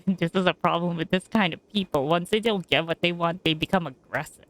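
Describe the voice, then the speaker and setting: Female game streamer, fairly low voice, casual and opinionated, tossing out muttered reactions. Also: fairly quick. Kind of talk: casual live gameplay commentary.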